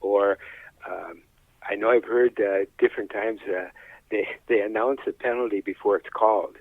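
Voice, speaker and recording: A man's voice: lowish voice, steady tone, moderate pace, dry recording. An older man talking casually in an interview, in a plain-spoken, folksy, hesitant way, on slightly dull audio. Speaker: high school sports announcer being interviewed.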